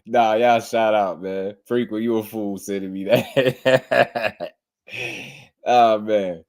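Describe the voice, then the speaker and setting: A man's voice: fairly low pitch, fairly even intonation, slow pace. A young man hosting a casual boxing reaction show, laid-back, laughing often and repeating himself as he talks. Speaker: boxing channel host.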